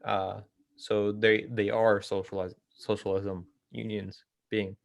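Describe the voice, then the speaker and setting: A man's voice: fairly low pitch, flat delivery, slow pace, creaky, no echo. A man hosting an informal book discussion, explaining ideas in a hesitant way and often correcting himself. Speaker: host of a political theory read-along.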